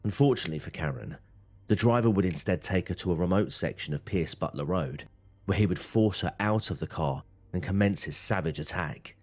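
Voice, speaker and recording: A man's voice: low voice, fairly quick. A man reading scripted true crime documentary narration.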